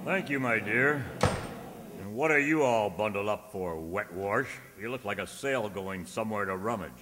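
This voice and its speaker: An actor playing a pompous, sharp-tongued doctor who delivers wordy, dry insults in an old-time radio comedy. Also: male voice, fairly low pitch, moderate pace, slight background noise. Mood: mocking. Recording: echoey hall stage recording.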